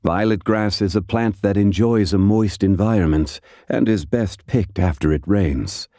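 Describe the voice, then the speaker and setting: A man playing a composed, ancient, scholarly gentleman in a video game, speaking with measured, formal and deliberate diction. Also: on the low side, dry recording, clean studio sound, lightly acted. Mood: calm, formal. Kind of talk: video game character voice lines.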